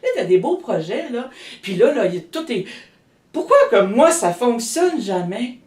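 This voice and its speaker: A woman's voice: fairly high pitch, big pitch swings, unhurried, a little mellow, distant mic. A woman with a Québécois French accent speaks as a healer in a casual interview. She rambles and restarts her sentences. The room is echoey and she sits back from the mic. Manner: rambling, many restarts and fillers. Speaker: energy healer guest.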